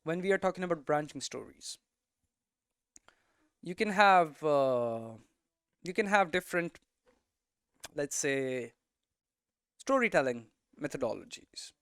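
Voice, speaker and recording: A man's voice: on the high side, animated, unhurried, long pauses, fairly bright tone, clean tone, no room sound, studio quality. A man giving an informal lesson, thinking aloud conversationally and often checking in with tags like "right?". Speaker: game development streamer and teacher.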